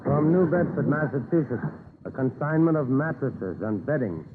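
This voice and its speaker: An actor playing a brisk, dutiful relief worker in a 1930s radio drama. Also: male voice, mid-range voice, sing-song, natural voice. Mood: businesslike. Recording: tinny 1930s radio recording, crowd noise.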